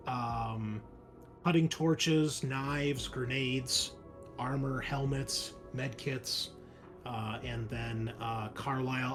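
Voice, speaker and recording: A man running a tabletop roleplaying game, narrating and explaining casually in a halting way. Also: mid-range voice. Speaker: game master.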